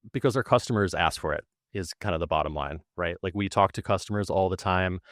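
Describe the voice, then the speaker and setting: A man's voice: deep voice, no echo, studio quality. A man being interviewed as a guest, explaining how his support team works in a conversational way. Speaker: technical support manager, guest.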